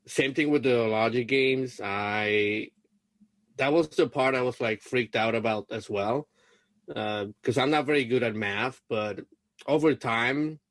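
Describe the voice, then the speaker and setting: Slow, hesitant Spanish-native man. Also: mid-range voice, a bit bright, dry recording. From Puerto Rico. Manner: hesitant, many fillers and restarts. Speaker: LSAT student being coached. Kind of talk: casual coaching call.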